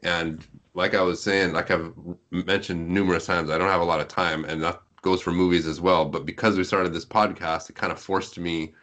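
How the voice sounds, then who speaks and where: A man speaking as a podcast guest, explaining things in a casual, measured manner. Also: low voice, flat delivery, a bit bright. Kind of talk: casual conversation. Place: group online call.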